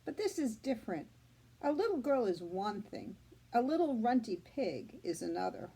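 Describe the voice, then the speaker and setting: A woman reads a farmer father from a storybook. He is patient and gentle, reasoning calmly yet firmly. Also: on the high side, normal speed, vocal fry, slightly rough, full character voice, rough recording. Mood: relenting, patient.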